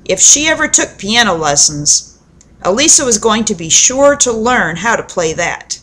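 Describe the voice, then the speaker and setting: A woman telling a children's story aloud in an expressive, deliberate storybook manner, with dramatic pauses. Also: mid-range voice.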